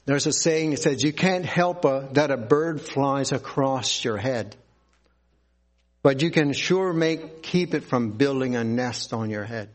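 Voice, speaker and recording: Slow-talking guy preaching a sermon, reads bible verses and breaks them down. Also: medium pitch. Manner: slow, deliberate preaching with scripture reading.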